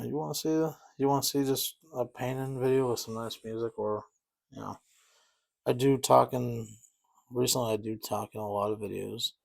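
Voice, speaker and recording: Low gravelly-voiced man chatting while painting. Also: a little mellow. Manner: rambling, easygoing, self-deprecating. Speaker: miniature painting hobbyist. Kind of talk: casual chat while painting.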